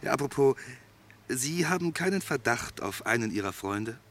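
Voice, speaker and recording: An actor playing a courteous, dryly teasing police investigator in a German radio play, questioning insistently with irony. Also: male voice, medium pitch, lively intonation, normal speed, a bit bright, lightly acted. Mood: businesslike, probing. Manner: insistent, drily ironic questioning.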